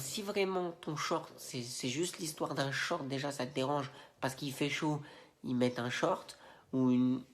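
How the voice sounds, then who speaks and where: A man with a head cold and a stuffy nose, ranting casually to camera with slangy, rambling indignation and plenty of fillers. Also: medium pitch. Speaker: Instagram video creator. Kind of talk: casual opinion rant to camera.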